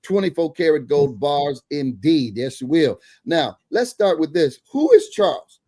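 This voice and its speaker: A man's voice: fairly high pitch, very animated, a little slow, mellow. A man hosting a church broadcast interview, emphatic and preacherly, laughing often as he talks. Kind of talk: enthusiastic interview host.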